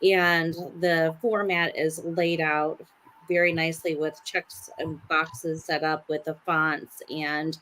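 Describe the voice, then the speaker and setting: A woman's voice: sits low, flat delivery, slow pace, a bit bright, a little hiss or hum. A woman on a casual group chat, steadily explaining plans and quoting the back-and-forth with others. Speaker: panelist on a sisters' chat show.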